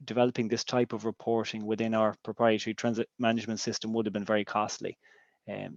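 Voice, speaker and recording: Hesitant Irish man, flat and low. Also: no echo, dull audio. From Ireland. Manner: hesitant, many ums and restarts. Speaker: business intelligence director. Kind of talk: webinar presentation.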